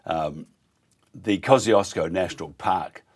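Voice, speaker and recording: A hoarse Australian man hosting a live talk radio show, blunt and ranting, mocking others in put-on voices. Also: sits low, takes it slowly. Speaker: radio host.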